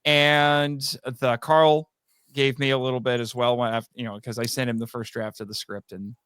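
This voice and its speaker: A man talking casually as a podcast guest, telling anecdotes in an animated way and often chuckling as he goes. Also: mid-range voice, normal speed, clear, resonant tone, no room sound, studio quality. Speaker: podcast guest, voice actor and writer.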